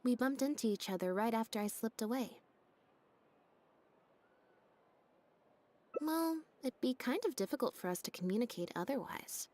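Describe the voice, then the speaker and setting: An actress voicing a deadpan, understated young female magician's assistant with robot-like habits in a video game. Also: on the high side, fairly quick, lightly acted. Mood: soft, matter-of-fact. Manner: deadpan, understated, matter-of-fact. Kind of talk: video game character dialogue. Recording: game audio with music behind. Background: background music.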